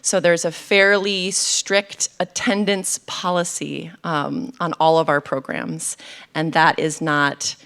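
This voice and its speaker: A woman with a somewhat low voice presents information to parents at a university event. She explains the office's policies step by step and pauses on ums. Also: little pitch movement, gravelly, a bit bright. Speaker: study abroad office staff presenter.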